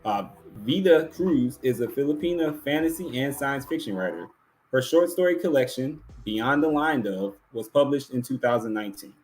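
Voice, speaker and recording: A man's voice: medium pitch, expressive, normal speed, darkish tone, soft background music, low-quality recording. A man casually hosting a live awards show and announcing finalists and winners.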